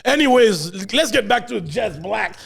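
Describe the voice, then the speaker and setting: A man hosting a podcast in a joking, animated way, mixing French with English slang. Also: high voice, measured pace, gravelly, breathy edge. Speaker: podcast host. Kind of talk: casual podcast chat.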